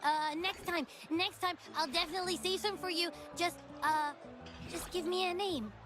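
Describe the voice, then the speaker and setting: A woman's voice: extremely high pitch, fairly even intonation, moderate pace, music in the background. A woman voicing a small, childlike, cheeky and dramatic hungry creature in a video game. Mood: pleading, demanding. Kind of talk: video game character acting.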